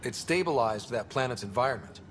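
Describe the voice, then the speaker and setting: A man's voice: medium pitch, expressive, fairly quick, background noise, lightly acted. An earnest young explorer and leader, played by a man, speaking in a natural mid-range voice. The delivery is sincere, conversational game dialogue, with faint ambience behind it.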